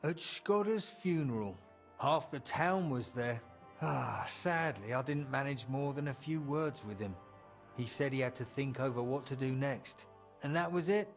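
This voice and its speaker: An actor voicing a chatty medieval townsman in a video game, telling colloquial, animated tales of old times with fondness. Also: mid-range voice, a little slow, faint music behind. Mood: wry, reminiscing. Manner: animated, colloquial storyteller acting out quotes. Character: chatty medieval townsman reminiscing fondly about an old drinking friend. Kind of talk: storytelling in video game dialogue.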